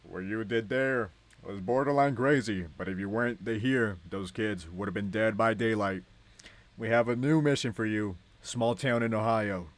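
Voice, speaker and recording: Mysterious agency boss acted by a man, grave and measured delivery, for a film script reading. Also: on the low side, natural voice. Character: serious, mysterious agency boss handing out missions.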